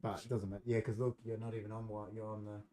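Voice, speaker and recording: A man's voice: fairly low pitch, level tone, quick pace, mellow. A young man co-hosting a casual podcast, emphatic and swearing freely as he argues about football. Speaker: podcast co-host. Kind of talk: casual chat.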